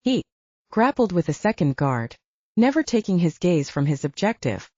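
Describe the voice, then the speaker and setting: A woman's voice: lowish voice, very animated, moderate pace, a little mellow, no echo. A woman narrating fiction as a scripted, dramatic audiobook reading. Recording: slightly dull, dry close recording.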